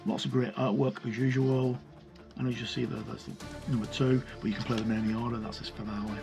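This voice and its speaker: Casual, rambling man with a creaky voice. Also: medium pitch, level tone, fast, music in the background. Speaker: host of a tabletop game review channel. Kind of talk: casual unscripted review commentary while paging through a book.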